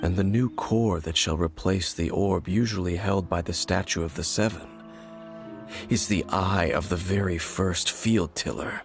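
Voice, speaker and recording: A man voicing a grave, aloof and mysterious warrior in a video game, speaking in a measured, formal, solemn manner. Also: low-pitched. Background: game music.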